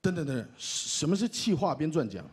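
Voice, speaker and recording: A man's voice: fairly high pitch, big pitch swings, fairly quick, breathy edge. A man with a Taiwanese Mandarin accent doing teasing, mock-dramatic comic banter as a co-host on stage. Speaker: radio co-host presenting awards. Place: on stage at an awards announcement event.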